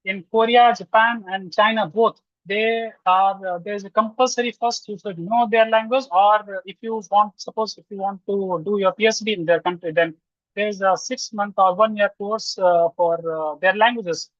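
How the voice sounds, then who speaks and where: A man from Lucknow with an Indian English accent, answering questions as a guest researcher with many pauses and restarts. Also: high voice, steady tone, no echo. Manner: frequent "uh" pauses and restarts, reasoning out loud. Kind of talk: webinar answers, hesitant and filler-heavy. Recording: dull, dry video call audio.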